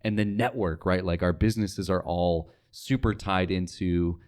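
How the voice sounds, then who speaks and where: Young guy with a low voice, just chatting on a podcast, informal, thinking out loud. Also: fairly even intonation, moderate pace. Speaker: podcast guest, radio sales associate. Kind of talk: casual podcast chat.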